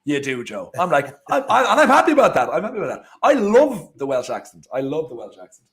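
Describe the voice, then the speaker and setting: Chatty Irish man, higher voice. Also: wildly swooping pitch, fast, a bit bright. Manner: chatty, rambling, often restarts and trails off. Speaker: co-host of a football watchalong. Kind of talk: casual live match chat.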